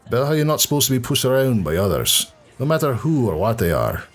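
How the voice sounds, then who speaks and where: A man playing a gruff, hard-drinking Irishman in a fan audio drama, blunt and colloquial. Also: mid-range voice, very animated, fast, soft background music. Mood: guarded.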